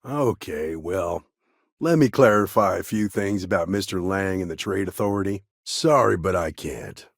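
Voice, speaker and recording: A man playing a world-weary, dutiful frontier ranger lawman in a sci-fi video game, guarded, stern and plain-spoken, with a husky, gravelly voice. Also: low-pitched, normal speed, no echo, clean studio sound. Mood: cautioning, matter-of-fact. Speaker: video game character actor. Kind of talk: naturalistic video game dialogue acting.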